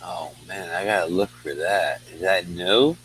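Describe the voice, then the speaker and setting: Deep-voiced laid-back man, faint hum. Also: unhurried, a bit bright. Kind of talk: casual chat. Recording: faint background noise and hum.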